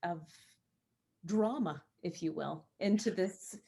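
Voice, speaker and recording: Lower-voiced woman chatting casually. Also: slow pace, vocal fry, dark tone, slightly rough. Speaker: actress. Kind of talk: casual panel talk about a role.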